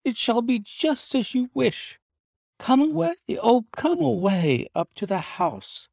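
A man's voice: very high voice, sing-song, takes it slowly, vocal fry, darkish tone, dry recording, studio quality, pitched above the performer's own voice, exaggerated voice. A man voicing an anxious, secretive wife who pleads desperately and emphatically in an audiobook mystery. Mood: relieved. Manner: imploring, emphatic, breathless entreaty. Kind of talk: dramatized audiobook reading of a classic mystery story.

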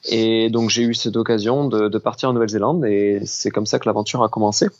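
A man in his thirties from southwest France, a pastry chef telling his story in a relaxed, unhurried way in an interview. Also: fairly low pitch, highs cut off. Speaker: pastry chef and business founder. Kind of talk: casual interview conversation.

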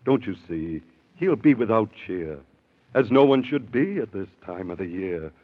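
A kindly, elderly prisoner in an old radio drama. His voice is low and beseeching, speaking earnest rhymes through a tinny, narrow-band recording. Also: expressive, normal speed. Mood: pleading, sorrowful. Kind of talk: 1930s radio acting.

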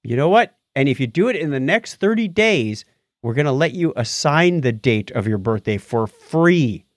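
A man's voice: mid-range voice, animated, normal speed, no room sound. A man co-hosting a casual show, bantering in a jokey, chatty way through news items and announcements. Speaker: podcast co-host.

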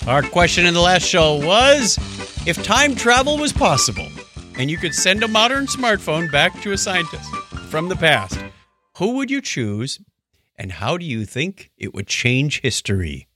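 A man co-hosting a casual podcast, reading listener comments aloud with a jokey, animated manner. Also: on the high side, a little slow, music in the background. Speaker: podcast co-host.

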